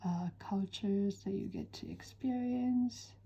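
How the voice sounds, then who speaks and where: A woman from western Japan with a Japanese accent, chatting in an unhurried, soft-spoken way with frequent pauses while she draws. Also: mid-range voice, lively intonation, breathy edge, lo-fi sound. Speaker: art instructor. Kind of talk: relaxed chat while drawing.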